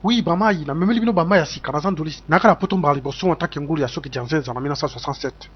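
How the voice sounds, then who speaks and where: A man voicing a boastful, excitable cartoon character with a blustering way of talking. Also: a little high, rapid-fire, a little hiss or hum, close to an everyday voice. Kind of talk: animated comedy dialogue. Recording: dull lo-fi track with music behind.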